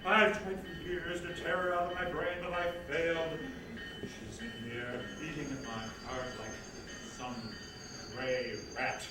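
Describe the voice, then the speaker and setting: A man playing a grandiose, vengeful jilted showman, delivered in florid, melodramatic stage comedy style. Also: on the high side. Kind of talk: stage comedy reader's theatre acting. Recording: very echoey stage, distant mic, noisy.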